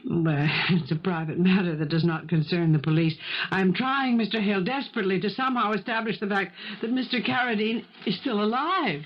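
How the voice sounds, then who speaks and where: A dull, lo-fi old radio drama recording of an actress playing a determined woman. She speaks articulately and firmly at a middle pitch, pressing her points like an amateur investigator. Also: a little fast, close to an everyday voice. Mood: earnest, determined. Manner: firm, articulate, insistent questioning. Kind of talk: 1970s radio mystery drama acting.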